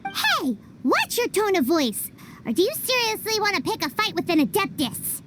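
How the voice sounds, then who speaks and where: A squeaky little game fairy girl, super high, bossy n excitable, pitch all over the place. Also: normal speed, very noisy, heavily acted. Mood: indignant. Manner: excitable, refers to self by name. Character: tiny excitable childlike female fairy companion, bossy. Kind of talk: video game character voice acting.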